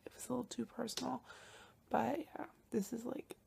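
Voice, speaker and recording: A young woman talking softly in a personal video diary, often hushed and breathy, with sighs between phrases, sounding congested from a cold. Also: a little high, little pitch movement, moderate pace, background noise. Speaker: vlogger.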